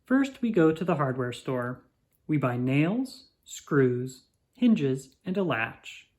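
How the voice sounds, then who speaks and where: Storybook narrator, mid-range pitch, expressive delivery, measured read-aloud style. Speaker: children's book narrator.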